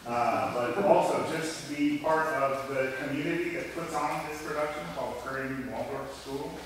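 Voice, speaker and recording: A man's voice: fairly high pitch, fairly even intonation, normal speed. Male orchestra director in echoey hall. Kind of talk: on-stage interview. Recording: echoey hall, distant mic, faint noise.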